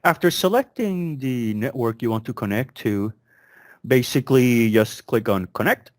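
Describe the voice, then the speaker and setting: A man presents a product tutorial as its demonstrator, explaining things step by step in a conversational way. His voice sits at a middle pitch, and the recording is dry, with little room sound. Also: normal speed, a little mellow, no room sound. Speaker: product demonstrator, program manager. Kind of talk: instructional product walkthrough, semi-scripted.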